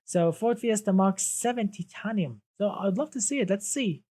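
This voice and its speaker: A man's voice: high-pitched, normal speed, darkish tone. A man whose first language is Arabic, chatting casually on a live stream about cars and reading out viewer comments, with many restarts and a friendly "my friend.".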